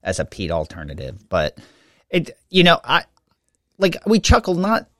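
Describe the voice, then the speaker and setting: A man's voice: a little high, huge pitch swings, moderate pace, a bit bright, no echo, clean studio sound. A man co-hosting a podcast in casual chat, rambling and self-interrupting, laughing easily and sounding a little congested. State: slightly congested after nose surgery. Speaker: podcast co-host.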